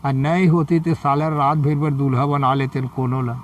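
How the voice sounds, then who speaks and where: A man with a Chhattisgarhi accent telling a folk story in short colloquial phrases, pausing for a listener to respond. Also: a little high, steady tone, a little hiss or hum. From Chhattisgarh, India. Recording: muffled, distant microphone.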